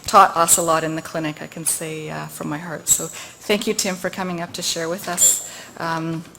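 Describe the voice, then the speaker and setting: Woman clinician, lowish voice, halting introduction full of fillers, faint hall noise. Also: little pitch movement, creaky, pushed and bright. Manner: halting, many fillers, heartfelt. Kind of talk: speaker introduction. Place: lecture hall.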